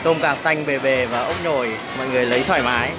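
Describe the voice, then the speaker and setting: A man's voice: mid-range voice, fast talker. A man giving a casual promotional walkthrough of a busy buffet restaurant, chatting to viewers as if they were family and filming on a handheld phone. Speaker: restaurant staff giving a tour. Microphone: handheld phone camera. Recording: phone video with loud background noise. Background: loud crowd and dining room noise.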